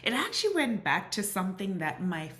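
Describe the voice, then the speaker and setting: A woman's voice: medium pitch, sing-song, fairly quick, a bit bright. A woman from Southern Africa, a guest telling her story in earnest with many restarts. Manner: earnest, with frequent restarts and "you know". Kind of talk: reflective interview storytelling. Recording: roomy echo.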